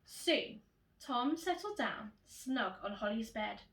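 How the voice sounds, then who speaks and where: A woman teacher reading a children's storybook in an animated, expressive way, addressing young children directly. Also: a little high, slow pace. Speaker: primary school teacher reading to pupils. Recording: roomy echo, distant microphone.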